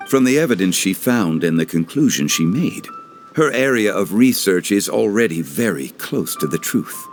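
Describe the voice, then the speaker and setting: An actor playing a calm, wise, dignified gentleman, measured and formal, in an anime game dub. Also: fairly low pitch, close to an everyday voice. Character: calm, wise, dignified ancient god living as mortal gentleman.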